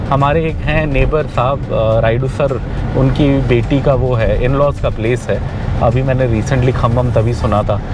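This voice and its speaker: A man chatting casually to viewers while driving on a highway, thinking aloud with a slightly sore throat. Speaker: vlogger. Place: inside a car on a highway. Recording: loud road noise.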